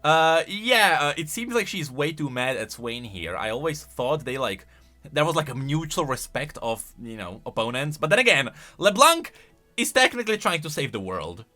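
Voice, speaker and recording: A man delivers casual commentary in a bright, fairly high voice. His pitch swings widely as he thinks aloud and stresses points emphatically. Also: big pitch swings, moderate pace, soft background music. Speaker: YouTube lore commentator. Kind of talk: casual, semi-improvised commentary.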